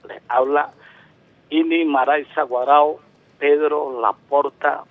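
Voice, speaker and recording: A man's voice: on the high side, steady tone, slow pace, no room sound. A man in his seventies from Venezuela, calling in to a radio show, talking at length with emphasis and many restarts. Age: 70s. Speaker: caller. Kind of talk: call-in radio talk. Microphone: telephone. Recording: tinny phone line.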